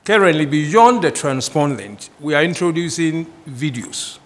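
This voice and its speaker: A man, a guest on a studio panel, explaining policy in a halting, explanatory way. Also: a little high, expressive. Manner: explanatory, with fillers and restarts.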